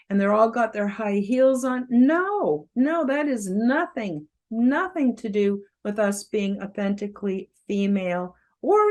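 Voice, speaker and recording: A woman around seventy with a loud, carrying voice, giving a casual self-help talk with fillers and emphatic rises. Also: on the high side. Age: about 70. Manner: loud voice that carries, outspoken. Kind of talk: casual spoken presentation to camera.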